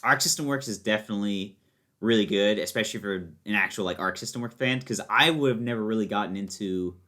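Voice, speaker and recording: Guy w a lowish voice, casual podcast guest, opinionated gamer talk, pretty into it. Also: fast talker, pushed and bright. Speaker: podcast guest, fighting game enthusiast. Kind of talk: casual chat about video games.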